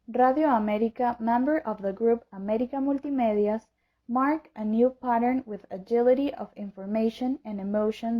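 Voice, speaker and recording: High-pitched woman narrator, slightly muffled audio. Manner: formal, reading from a script. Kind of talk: scripted historical narration. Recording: dull lo-fi audio.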